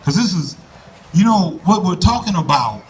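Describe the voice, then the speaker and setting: A man giving an earnest, folksy address to a crowd at an outdoor rally in a park, speaking loudly into a microphone and urging people to come closer. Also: high-pitched, lively intonation, moderate pace. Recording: voice from far off the mic, faint background noise.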